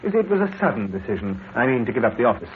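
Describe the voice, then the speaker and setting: A man's voice: mid-range voice, sing-song, racing, natural voice. A man playing an evasive, overly helpful suspect. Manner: overly cooperative, slightly stammering. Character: smooth evasive male suspect, nervous under questioning. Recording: tinny old radio broadcast with hiss.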